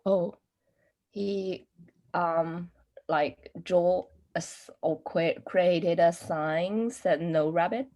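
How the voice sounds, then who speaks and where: A woman answering questions as a participant in a lesson, in a hesitant, halting way. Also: fairly low pitch, darkish tone. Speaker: read-aloud participant.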